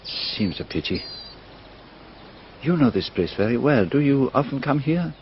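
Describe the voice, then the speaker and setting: An older gentleman detective in a radio mystery, formal and politely probing. His delivery rises and falls in lively fashion. The recording is dull, with noise behind it. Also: mid-range voice, measured pace. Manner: formal, precise, politely inquisitive. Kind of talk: radio drama acting. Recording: dull lo-fi radio drama, noisy.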